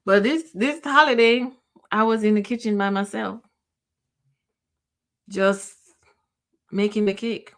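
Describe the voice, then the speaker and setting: A middle-aged woman with a Jamaican accent, hosting her own podcast and speaking in a personal, reflective monologue. She talks emotionally, pauses often, restarts her sentences and lets some of them trail off. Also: mid-range voice, fairly even intonation. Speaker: podcast host.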